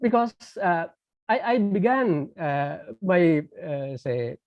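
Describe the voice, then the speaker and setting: A man's voice: high voice, expressive, takes it slowly, dry recording. A man whose first language is Tamil, giving an online lecture by phone, speaking in a hesitant way with many fillers and restarts. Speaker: guest lecturer. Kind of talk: online lecture over a call. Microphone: phone on a landline call. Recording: dull dry phone-call audio.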